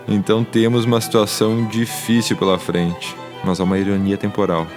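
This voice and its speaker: A philosophical fugitive adventurer acted by a man in an audio drama, with a fairly low voice. He is anxious and ironic, often hesitating and repeating himself, with music clearly behind him. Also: completely flat delivery, fairly quick, natural voice. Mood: defensive, wry.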